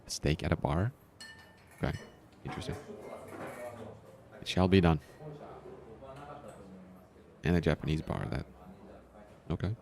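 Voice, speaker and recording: A man streaming a visual novel, reading its story text aloud in an unhurried way with casual asides. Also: rumbling low, vocal fry, a little mellow. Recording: music playing behind the voice. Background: background game music.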